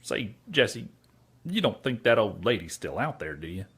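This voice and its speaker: An actor playing a simple, sentimental cowboy outlaw in a western comedy audio drama, with a husky rural Western drawl. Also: male voice, on the low side, sing-song, moderate pace, fairly bright tone, exaggerated voice. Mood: uneasy. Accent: rural American West. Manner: folksy, ungrammatical drawl.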